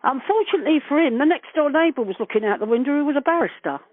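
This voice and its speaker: A woman's voice: high-pitched, lively intonation, racing, no room sound. An older woman reminisces casually over the phone, chatty, earthy and blunt, with casual swearing. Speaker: interviewee recalling past events. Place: on a phone call. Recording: narrowband telephone call, dry.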